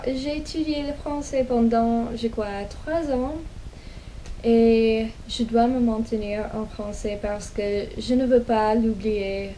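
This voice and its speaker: A young American woman, a native English speaker, telling her own story in a casual vlog, with slow hesitant speech full of pauses and restarts. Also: on the high side, warm tone, a little hiss or hum, low-quality recording. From United States. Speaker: language vlogger. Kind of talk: casual personal storytelling in learned French.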